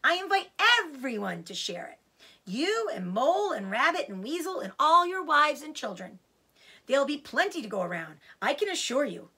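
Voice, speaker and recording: A woman's voice: sits high, big pitch swings, moderate pace, bright, forceful tone, pitched above the performer's own voice, exaggerated voice. A woman voicing a clever, confident and genial father fox in a children's storybook. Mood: genial, assured.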